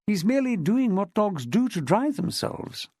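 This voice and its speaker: A man's voice: high-pitched, expressive, moderate pace, gravelly, a bit bright, no room sound, studio quality, pitched above the performer's own voice, exaggerated voice. A man voicing a brash, eccentric man with a posh public-school manner, in a storybook reading.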